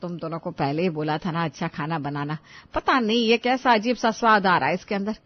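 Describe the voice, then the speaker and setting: A woman's voice: fairly low pitch, brisk, lo-fi sound, close to an everyday voice. Woman acting as sarcastic, grumbling cartoon mother-in-law. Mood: grumbling, scolding. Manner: scolding, sarcastic. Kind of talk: animated moral-story dialogue.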